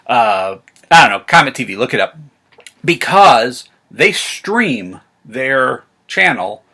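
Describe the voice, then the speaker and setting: A man's voice: on the high side, vocal fry. An American man talking casually to camera as a vlog host, in a rambling, enthusiastic fan's manner. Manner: rambling, excited fan talk. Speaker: vlog host and science fiction reviewer.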